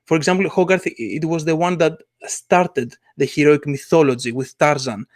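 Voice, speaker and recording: Halting, enthusiastic man in casual chat. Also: a little high, dry recording, clean studio sound. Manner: halting, many "uh" fillers, enthusiastic. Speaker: podcast co-host, comic artist.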